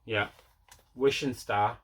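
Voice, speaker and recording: Man, bright sharp voice, mid pitch, casual rambling host, repeats himself. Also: steady tone, slow pace, a little hiss or hum. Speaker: host of a mail day collecting vlog. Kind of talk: casual chat showing movie pickups.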